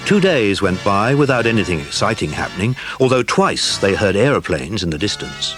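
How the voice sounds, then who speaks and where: A low-pitched storyteller, narrating a children's adventure with lively, expressive intonation. Music plays clearly behind the measured scripted reading. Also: male voice. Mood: storytelling.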